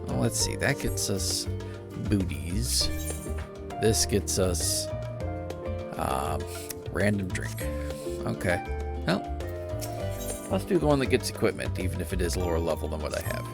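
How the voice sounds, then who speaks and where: A man's voice: low voice, very animated, a little slow, creaky, long pauses. A man casually chatting as a live game streamer, in an easygoing, informal way. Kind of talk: casual livestream chat. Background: music.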